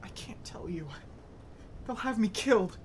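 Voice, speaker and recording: A woman's voice: mid-range voice, expressive, measured pace, a little hiss or hum, lightly acted. A woman playing a defiant, frightened young burglar in an audio drama. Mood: panicked, pleading.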